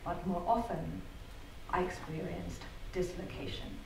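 A middle-aged woman from Zambia giving a prepared talk in a theatre, measured and articulate with wry asides. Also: fairly low pitch, big pitch swings, noisy background. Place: theatre hall. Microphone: venue sound picked up from the back of the hall. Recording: far-off roomy hall capture.

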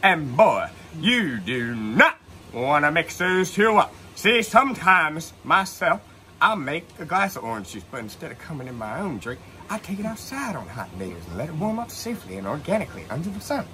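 A man's voice: fairly high pitch, sing-song, moderate pace, sharp tone, a little hiss or hum. A man playing an earnest oddball who tells of alien encounters in a mock-serious, theatrical comedy monologue. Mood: mock-solemn.

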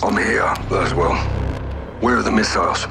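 Male game lead: gruff British army captain, low gravelly voice, terse and wary. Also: moderate pace, music in the background. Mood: terse, focused. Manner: gruff, terse military talk. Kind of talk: dramatic video game cutscene dialogue.

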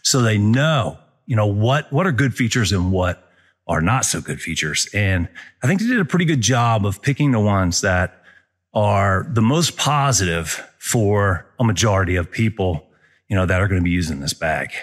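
A man's voice: lowish voice, moderate pace. A man in his forties reviewing a hiking backpack in a casual, conversational way, filming himself on a wooded trail. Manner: relaxed, conversational, many fillers. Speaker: outdoor gear reviewer. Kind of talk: casual gear review vlog. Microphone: handheld action camera at arm's length.

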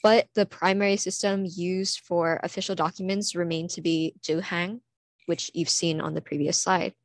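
A woman's voice: on the low side, fairly even intonation, dry recording. Teenage girl giving a prepared talk. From California, USA. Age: teens. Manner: earnest, reads from slides with small pauses. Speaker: student presenter. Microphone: computer mic, online conference.

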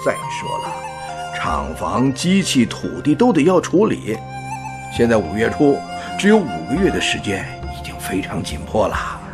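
Serious, very animated elder. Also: male voice, mid-range voice, normal speed. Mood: pressed, serious. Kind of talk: radio drama acting. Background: music.

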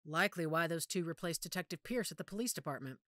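Pack leader mother, werewolf audio drama, female actor: low register, decisive, strategic, dry studio sound. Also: expressive, fast talker, fairly bright tone, no echo. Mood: grim, reasoning. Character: commanding werewolf pack matriarch, a calculating mother.

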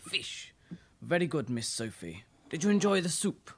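James, low-voiced deferential butler played by a woman. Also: big pitch swings, moderate pace, slightly rough, heavily acted. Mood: reluctant, obliging. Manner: obsequious, formal, repeating himself. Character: James, deferential elderly male English butler. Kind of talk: amateur radio play acting.

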